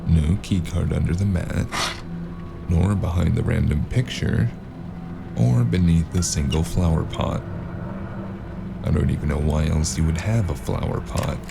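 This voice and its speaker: A man playing a weary, sardonic hard-boiled investigator, narrating a noir audio drama in a gravelly, deadpan way. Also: deep voice, a little slow, long pauses, noisy background, heavily acted. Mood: brooding, wry. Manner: deadpan, dry, brooding.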